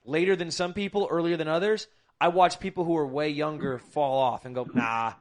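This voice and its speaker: A man's voice: a little high, steady tone, fairly quick. A young adult man hosting a podcast, talking bluntly and emphatically with lots of swearing. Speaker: podcast host. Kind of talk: casual podcast chat.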